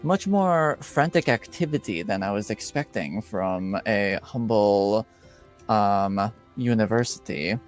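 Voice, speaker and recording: A man's voice: lowish voice, slow pace, soft background music, pitched below the performer's own voice. A man voicing a stern, impatient noble father in a tabletop game, curt, formal and coldly insistent. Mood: suspicious.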